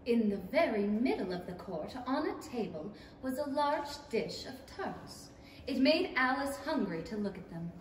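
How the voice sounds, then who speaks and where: A woman's voice: fairly high pitch, big pitch swings, normal speed. A young woman narrating a 1930s-style storybook radio play from a script. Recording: echoey theatre stage, distant mic, hiss.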